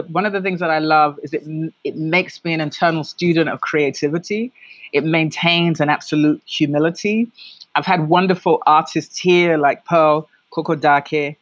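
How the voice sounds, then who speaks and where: Expressive London woman, close dry sound. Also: low voice, dry recording. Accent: London British. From London, England. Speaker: interview guest, playwright. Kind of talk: reflective conversational storytelling.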